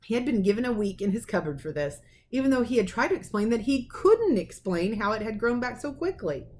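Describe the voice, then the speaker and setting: A woman reading a children's novel aloud as a teacher would, in an expressive storytelling manner. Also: medium pitch, a little hiss or hum. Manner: animated, acts out dialogue.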